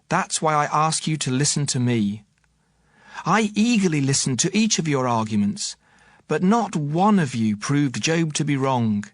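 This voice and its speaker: A man's voice: fairly high pitch, no echo. An actor playing an earnest young man who admonishes his elders in an emphatic, declamatory way, in a dramatized audio Bible. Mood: earnest, respectful. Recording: music behind the voice.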